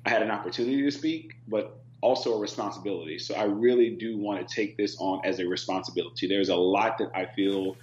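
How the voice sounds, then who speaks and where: A man guest on a talk panel, speaking in an earnest, thoughtful and measured way. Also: fairly low pitch, a little mellow. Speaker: reality show cast member, guest. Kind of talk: casual panel chat. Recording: dull echoey remote audio with hum.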